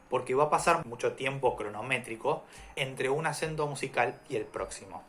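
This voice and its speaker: A man teaching music theory in a calm, methodical video lesson, walking through examples step by step. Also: mid-range voice, slight background noise.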